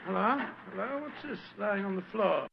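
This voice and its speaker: An actor playing a bewildered, loyal English gentleman sidekick in a radio mystery, with a creaky British voice and puzzled, exclamatory delivery. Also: high-pitched, expressive, moderate pace. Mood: amazed, puzzled. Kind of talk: old-time radio mystery acting. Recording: dull, noisy old radio recording.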